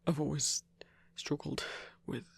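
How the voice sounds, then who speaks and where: A man performs a lone werewolf hunter, gentle and socially awkward, for an ASMR audio drama. The voice is very husky and breathy, with a creaky edge. He speaks slowly, stopping and restarting as he searches for words. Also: fairly high pitch, flat delivery, dry recording, full character voice. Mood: hesitant, awkward. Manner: halting, hesitant, stammering. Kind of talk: intimate ASMR audio roleplay.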